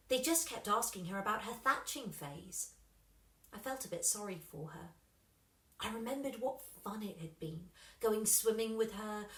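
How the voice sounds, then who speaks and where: A woman reading a children's story expressively, with dramatic pauses and emphasis. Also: on the high side. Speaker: story reader. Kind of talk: scripted children's story narration. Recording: roomy, echoing room sound.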